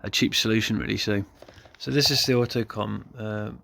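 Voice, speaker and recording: A man's voice: lowish voice, steady tone, normal speed, gravelly, fairly bright tone. Casual man demonstrating, noisy recording. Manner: informal, off-the-cuff, self-deprecating. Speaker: car hobbyist presenting a DIY video. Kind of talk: casual hands-on demonstration vlog.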